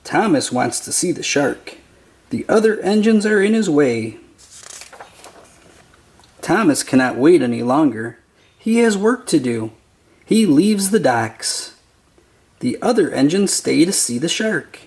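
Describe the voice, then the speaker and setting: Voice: mid-range voice, very animated, measured pace, pauses often, a little mellow. A narrator reading a children's picture book aloud in short, simple storytelling phrases.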